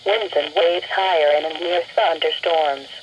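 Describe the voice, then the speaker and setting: A woman's voice: deep voice, measured pace. A synthetic-sounding woman announcer reading a scripted marine weather forecast on weather radio. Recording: muffled, noisy weather radio broadcast.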